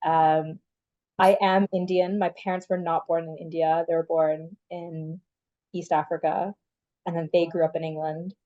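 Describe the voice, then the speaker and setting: A woman's voice: sits low, flat delivery, normal speed, dull audio. A young woman from Canada, the guest in a casual interview, talking in a chatty way with many "like" fillers. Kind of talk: casual conversation.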